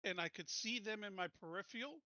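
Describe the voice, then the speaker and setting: A man with a very bright, sharp-edged voice, pitched a little high, plays a tabletop game. He talks casually but insistently as he explains his moves, close and dry on the mic. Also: a little fast, no echo. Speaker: player in a tabletop roleplaying game.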